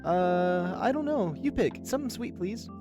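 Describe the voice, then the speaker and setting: A man's voice: high voice, animated, normal speed, over music, pitched above the performer's own voice. A man voicing an eager, naive, childlike animal character in a visual novel. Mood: shy, eager.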